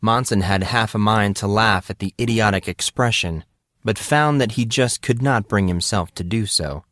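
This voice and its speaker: A man narrates a piece of fiction as an audiobook. His voice sits on the low side. The sound is dry, with little room in it. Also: normal speed, fairly bright tone, no room sound.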